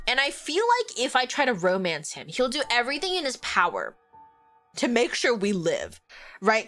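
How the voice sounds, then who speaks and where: Congested, excitable woman streamer. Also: mid-range voice. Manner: excitable, swearing, swings between loud outbursts and quiet reading. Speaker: streamer and vtuber. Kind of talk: live gameplay commentary and reading game text aloud.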